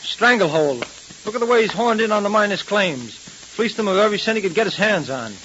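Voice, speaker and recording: High-voiced eager detective's sidekick. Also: male voice, brisk. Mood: concerned. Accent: American. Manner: colloquial, questioning, excitable. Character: eager, plain-spoken male detective's sidekick. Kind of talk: 1930s radio serial acting. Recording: muffled, hissing old radio recording.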